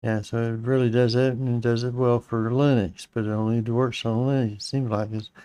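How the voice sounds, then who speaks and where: Slightly raspy man hosting a live stream. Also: medium pitch, flat delivery, fairly quick, warm tone, dry recording. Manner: rambling, with fillers and restarts. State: slightly raspy, clearing his throat. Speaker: host of a live-streamed Linux tutorial. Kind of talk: casual live-stream tech walkthrough.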